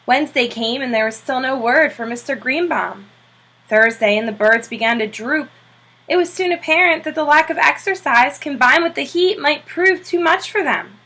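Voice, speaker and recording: A woman reading a children's book aloud in an expressive narrating style, like a teacher. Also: sits high, poor audio.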